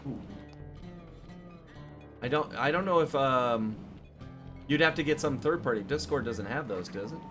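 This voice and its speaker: A man's voice: mid-range voice, unhurried, pauses often, music in the background. A man hosting a game stream, chatting in a laid-back, jokey way. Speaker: streamer host. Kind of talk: casual chat.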